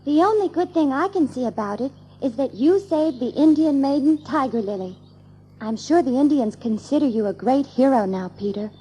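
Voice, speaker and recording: A woman's voice: high voice, animated, normal speed, dark tone, slight background noise. A woman playing a motherly young girl telling stories in a children's audio drama, prim and instructive in manner. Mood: admiring.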